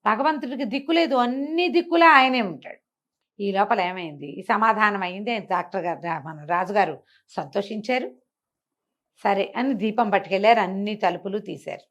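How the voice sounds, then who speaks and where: A woman's voice: mid-range voice, normal speed. A woman telling a moral folk tale aloud in a conversational, explanatory way, with acted dialogue and rhetorical questions. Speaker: storyteller. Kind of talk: animated oral storytelling of a moral folk tale.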